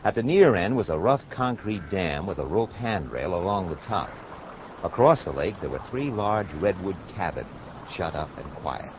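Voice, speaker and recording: An actor playing a cynical, wisecracking American private detective in a hardboiled radio drama, narrating and trading dialogue. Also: male voice, sits low, sing-song, moderate pace, muffled recording, slight background noise. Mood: wry, reflective. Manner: sardonic, wisecracking.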